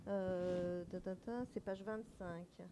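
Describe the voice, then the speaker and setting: A woman's voice: fairly low pitch, fairly even intonation, takes it slowly. A woman moderating a public talk at a festival, informal and repeating words as she goes. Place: festival meeting room with audience. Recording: noisy room recording.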